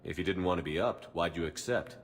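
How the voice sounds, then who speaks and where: An actor playing a steady military commander in a video game, asking brief, clipped questions. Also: male voice, deep voice, brisk, soft background music.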